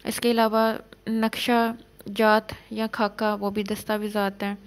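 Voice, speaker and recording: A woman giving an exam-prep law lecture, reading English clauses slowly and explaining them in Urdu. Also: medium pitch, flat delivery.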